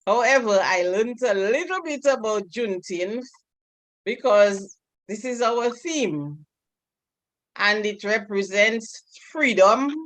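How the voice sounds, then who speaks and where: Slow-speaking Dominican woman. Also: medium pitch, lively intonation, a bit bright, dry recording. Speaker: meeting's toastmaster of the day. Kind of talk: club meeting presentation over a call. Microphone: video call.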